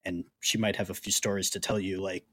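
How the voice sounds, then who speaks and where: Low-voiced man in dry podcast chat. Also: little pitch movement, a little fast, a bit bright, husky, no echo. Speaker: podcast co-host. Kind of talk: casual sports podcast chat.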